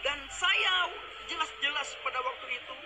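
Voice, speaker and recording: A man's voice: very high-pitched, normal speed, noisy background. A man from Aceh preaching emphatically, giving a religious testimony to viewers. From Aceh, Indonesia. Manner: emphatic, declaiming. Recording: loud music underneath.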